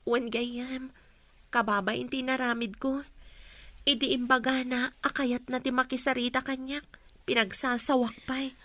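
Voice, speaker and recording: High-voiced, impulsive young woman. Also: level tone, a little slow, a bit bright, dry recording. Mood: regretful. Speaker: radio drama actor. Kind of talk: Ilocano radio drama acting.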